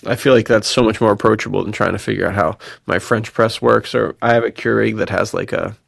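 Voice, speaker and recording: A man's voice: on the low side, brisk, creaky, no room sound, studio quality. A man co-hosting a podcast in casual chat, telling slangy personal anecdotes with quoted bits of dialogue. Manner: slangy, animated storytelling with quoted dialogue and frequent laughter. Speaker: podcast co-host.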